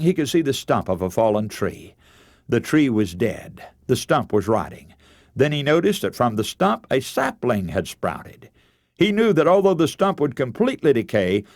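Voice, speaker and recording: A man's voice: mid-range voice, darkish tone, no echo, studio quality. A man preaching a scripted radio sermon, telling a story in a solemn, formal and rhetorical manner.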